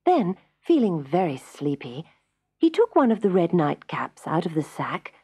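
Lively, slightly husky woman storyteller. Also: lowish voice. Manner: measured storytelling with dramatic emphasis. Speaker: storybook narrator. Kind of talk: expressive scripted children's story narration.

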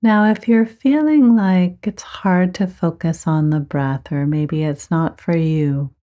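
A woman teaching guided meditation, giving gentle, measured instructions with frequent pauses. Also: low voice, dull audio.

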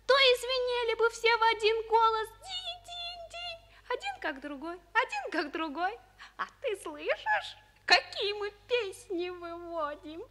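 Very high, childlike little bell boy. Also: fairly bright tone, slight background noise, full character voice. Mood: bright, chiming. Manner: drawn-out sing-song with chiming syllables. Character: small plaintive bell-boy from a music box. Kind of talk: 1940s radio play acting. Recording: old 1940s radio recording.

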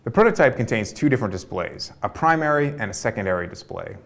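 A man's voice: on the low side, lively intonation, fast talker, creaky, a bit bright. A young man giving a fluent, orderly walkthrough of a prototype. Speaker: researcher demonstrating a prototype. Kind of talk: product demo narration. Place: dark studio with display screens.

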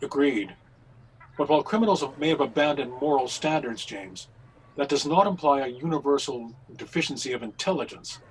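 A man's voice: medium pitch, brisk, lightly acted, poor audio. A man playing a formal, articulate, insistent reverend detective in a period radio mystery. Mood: urgent, commanding. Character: urgent, commanding reverend amateur detective in 1900s mystery. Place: indoor home video call. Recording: faint noise on video call.

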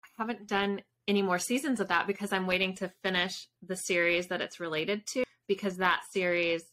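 Bright-voiced woman chatting, some background noise. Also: mid-range voice, fairly even intonation, fairly quick. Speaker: guest, fiction author and podcaster. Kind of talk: casual interview chat. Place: indoor home room with bookshelves. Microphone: video call. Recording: video call with background noise, clicks.

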